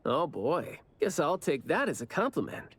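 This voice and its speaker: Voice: medium pitch, sing-song, normal speed, slightly rough. Breezy, teasing, swooping young slacker. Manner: playful, teasing, sing-song. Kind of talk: anime video game voice acting.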